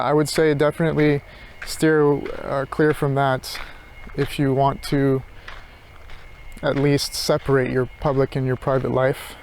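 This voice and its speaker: Reflective man, slightly high voice, thinking aloud. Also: a little mellow, a little hiss or hum. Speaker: philosophy tutor vlogger. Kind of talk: casual rambling monologue while walking. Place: outdoors, walking.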